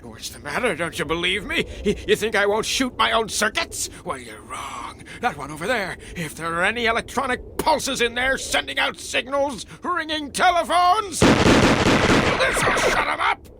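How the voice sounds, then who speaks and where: A creaky, anguished old man. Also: extremely high pitch, big pitch swings, slight background noise, heavily acted. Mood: vengeful, muttering. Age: 80s. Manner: halting, gasping, breaking into cries and shouts. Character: anguished, lonely eighty-year-old man, bitter and raging. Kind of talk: old-time radio drama acting.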